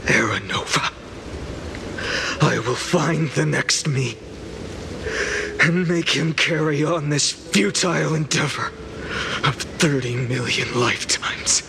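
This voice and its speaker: Solemn, slow-spoken tormented young hero. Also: male voice, a little high, background noise. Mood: sorrowful resolve. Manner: solemn, grandiose, deliberate delivery with breathy drops. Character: tormented young male hero vowing to burn through endless cycles. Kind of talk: dramatic video game voice acting. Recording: music behind, roomy reverb.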